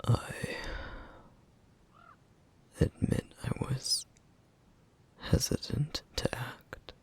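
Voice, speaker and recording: A man playing a somber, duty-bound, protective flame demon in an ASMR roleplay, speaking in a hushed, halting, formal way with a rough, breathy voice. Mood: remorseful. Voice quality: breathy, husky, rough whisper. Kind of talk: ASMR roleplay, intimate scripted monologue.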